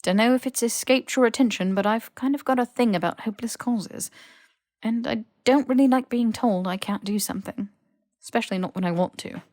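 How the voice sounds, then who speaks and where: A woman's voice: a little high, a little fast, darkish tone, dry recording, studio quality, voice pushed up, natural voice. A woman voicing a stubborn, teasing young male wizard in his twenties, casual and cheeky, for an audiobook. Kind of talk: audiobook character voice.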